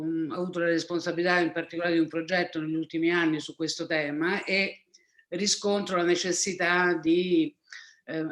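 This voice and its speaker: A woman psychologist introducing herself on a video call, carefully searching for precise wording with restarts. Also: lowish voice. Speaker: psychologist and horsewoman. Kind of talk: formal self-introduction.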